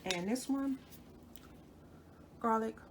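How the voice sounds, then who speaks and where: A woman's voice: a little high, takes it slowly. A woman with a slightly stuffy nose, chatting her way through a home cooking demonstration and stopping now and then to correct herself. Dishes and utensils clink around her in a noisy kitchen recording. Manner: chatty, talks to viewers while working, self-correcting. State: stuffy from a cold. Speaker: cooking channel host.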